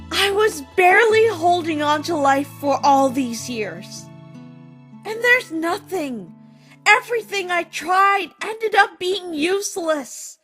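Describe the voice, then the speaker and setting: A timid human child, played by a woman, weary and emotional. The voice is high and halting, in dramatic dub style over background music. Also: fairly bright tone, heavily acted. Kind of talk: dramatic comic dub acting.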